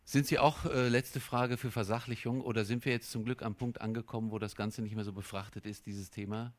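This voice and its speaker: A man moderating a panel event, brisk and chatty, stumbling now and then over words. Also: lowish voice, fairly even intonation.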